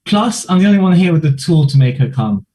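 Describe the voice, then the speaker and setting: A man's voice: a little high, sing-song, quick pace, warm tone, a little hiss or hum. An actor, a man, reading the part of a crude, boastful young bully in a play table read.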